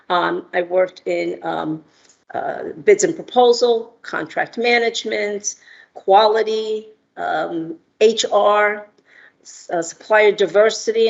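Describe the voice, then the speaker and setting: A woman's voice: mid-range voice, creaky, lo-fi sound. A middle-aged woman from Argentina giving a talk as an entrepreneur, friendly, with many ums and uhs. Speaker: guest speaker, entrepreneur. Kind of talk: prepared remarks with fillers.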